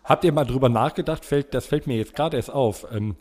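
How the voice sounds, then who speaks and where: A man co-hosting a podcast in casual comic chat, joking with halting delivery. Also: mid-range voice, darkish tone. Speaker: podcast host.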